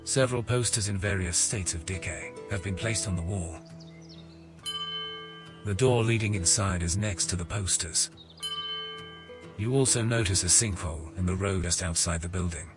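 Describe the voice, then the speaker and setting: A man giving scripted narration for a fantasy audio game in a measured storytelling manner. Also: deep voice. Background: music.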